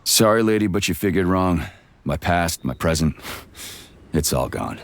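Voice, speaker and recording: Gruff, low, sullen soldier. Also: male voice, expressive, moderate pace. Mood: wary. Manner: curt, irritable, sardonic. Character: gruff, foul-mouthed, sullen amnesiac soldier. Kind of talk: video game voice acting.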